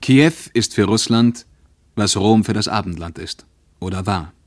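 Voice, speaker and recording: A grave traveller who has been to war, in a radio play. His very deep voice recites deliberately and solemnly, in a dry studio with almost no room. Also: no echo.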